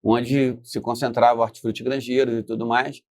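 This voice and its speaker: A middle-aged Brazilian man telling stories casually as a guest, haltingly, repeating himself and often checking for agreement. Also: medium pitch, flat delivery, a little mellow, dry recording. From Brazil, born in Espírito Santo. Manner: halting, repetitive, often seeks agreement with tag questions. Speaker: interview guest, business founder. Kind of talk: casual storytelling in conversation.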